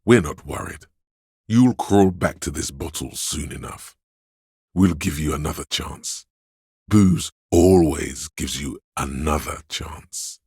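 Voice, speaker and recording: A very deep, husky inner tempter. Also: male voice, a little mellow, no room sound, studio quality, exaggerated voice. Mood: indulgent, soothing. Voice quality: gravelly, husky bass. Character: seductive inner voice of addiction, male, indulgent and coaxing. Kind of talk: dramatic video game narration.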